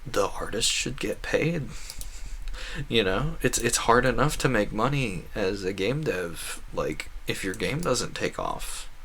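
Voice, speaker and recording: A man's voice: on the low side, animated, slow, fairly bright tone, slight background noise. A man giving casual, earnest and opinionated commentary on a gaming stream, talking in a rambling way. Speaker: game streamer.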